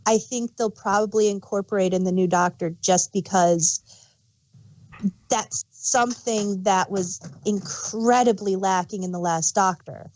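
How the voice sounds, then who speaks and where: A woman's voice: mid-range voice, unhurried, darkish tone, low-quality recording. A woman co-hosting a podcast, casually sharing her opinions on a TV show. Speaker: podcast co-host.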